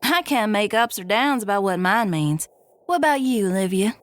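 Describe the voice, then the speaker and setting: A woman's voice: medium pitch, expressive, normal speed, no room sound, close to an everyday voice. Woman acting as folksy space cargo pilot, dry recording. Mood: concerned, matter-of-fact. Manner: folksy, informal storytelling. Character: folksy, plain-spoken female space cargo pilot, adventurous. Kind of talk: audio drama acting.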